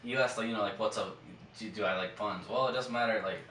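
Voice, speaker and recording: A man's voice: on the low side, fast, a bit bright. A young man co-hosting a podcast in casual, blunt, sweary chat. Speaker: podcast co-host. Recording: roomy, distant mic, faint room noise.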